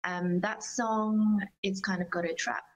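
A woman's voice: mid-range voice, fairly even intonation, measured pace. A woman with an Australian accent, chatting casually and earnestly as an enthusiastic interview guest. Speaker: interview guest, singer-songwriter.

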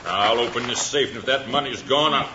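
A man's voice: mid-range voice, brisk, roomy sound, lightly acted. A man playing a surly, hot-tempered crooked gang boss in a 1950s radio western. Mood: angry. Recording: muffled old radio recording, some noise.

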